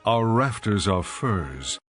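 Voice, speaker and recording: A low-voiced, adoring bridegroom. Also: male voice, very animated, fairly bright tone, soft background music, full character voice. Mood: tender, adoring. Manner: tender, poetic, measured delivery. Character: male lover, adoring, ardent bridegroom. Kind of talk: dramatized scripture reading over music.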